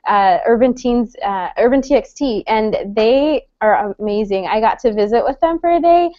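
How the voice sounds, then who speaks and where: Brief: woman in her thirties from El Salvador, friendly panel moderator, slightly high voice, lo-fi dry recording. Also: animated, normal speed, no echo. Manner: friendly, chatty, many fillers and restarts. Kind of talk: online panel discussion. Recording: dull lo-fi dry sound.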